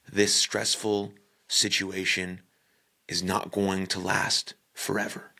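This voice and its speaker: A man with a low, creaky voice leads a guided meditation at a very slow pace. He speaks in short, calm phrases with deliberate pauses between them. The delivery is husky and breathy. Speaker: podcaster leading guided meditation.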